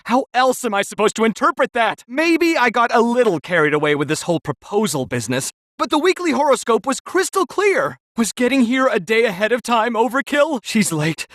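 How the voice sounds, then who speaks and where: Very high-pitched, fretful game suitor. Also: male voice, very animated, fairly quick, few pauses, fairly bright tone, no echo, clean studio sound, exaggerated voice. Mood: anxious. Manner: fretful, self-questioning. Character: anxious, lovestruck suitor fretting over a proposal. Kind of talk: video game voice acting.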